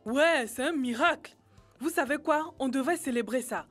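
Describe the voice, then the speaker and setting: Woman playing an upbeat, high-voiced cartoon child. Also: moderate pace, fairly bright tone, soft background music. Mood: eager. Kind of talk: educational cartoon dub.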